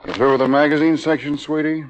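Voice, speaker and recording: An actor playing an affectionate but dismissive husband in a 1950s radio drama, talking in short, casual, unconcerned replies. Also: male voice, a little high, fairly even intonation, quick pace, soft background music, close to an everyday voice. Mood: offhand, dismissive. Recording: muffled old radio recording, faint noise.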